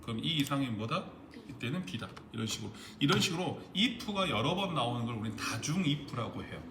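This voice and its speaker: A man's voice: medium pitch, sing-song, moderate pace. A man teaching a recorded exam-prep lesson on spreadsheet formulas, explaining step by step and often asking the class whether they understood. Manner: explains step by step, keeps checking understanding with questions. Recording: echoey room with background noise.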